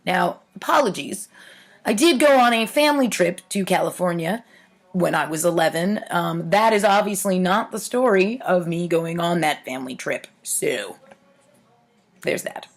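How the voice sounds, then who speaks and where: A woman's voice: lowish voice, expressive, normal speed. A woman reading stories aloud to viewers, theatrical in the reading with wry, casual, offhand commentary. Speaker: host reading aloud childhood writing.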